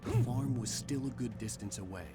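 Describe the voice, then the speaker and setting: A man's voice: medium pitch, moderate pace, loud music behind the voice, natural voice. A male actor playing a weary, wry hero, narrating a video game in a brooding, matter-of-fact way. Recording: loud game ambience noise.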